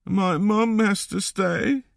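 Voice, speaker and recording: A man's voice: sits high, fairly even intonation, vocal fry, no room sound, studio quality, voice pushed up, exaggerated voice. A man voicing an upset, frightened little boy in a storybook, speaking plaintively. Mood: tearful, scared. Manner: slow, plaintive delivery.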